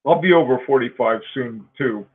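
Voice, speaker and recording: A man's voice: medium pitch, moderate pace, husky. A man in his forties hosting a casual live-stream chat, rambling with fillers and frequent laughs. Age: 40s. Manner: rambling, laughing often, with many fillers and restarts. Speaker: host. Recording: tinny phone-like line.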